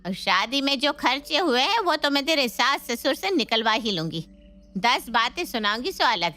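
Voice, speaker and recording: A woman's voice: sits high, very animated, moderate pace, bright, forceful tone, exaggerated voice. A woman playing a protective, indignant middle-aged mother in an Indian family story, emphatic and fussing. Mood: consoling, indignant. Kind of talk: scripted Hindi family story dialogue.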